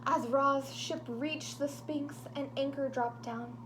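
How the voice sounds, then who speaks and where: A woman reading a picture book aloud to children, animated and dropping into whispers for suspense, with chatty asides to her young listeners. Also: high voice, slow, noisy background.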